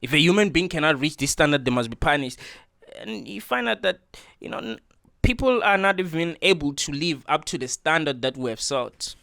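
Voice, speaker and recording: A man's voice: a little high, animated, fairly quick, vocal fry, bright, forceful tone, no echo, studio quality. A man hosting a reaction video, talking in an earnest, preachy way and repeating his points with lots of filler words. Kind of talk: casual opinion monologue.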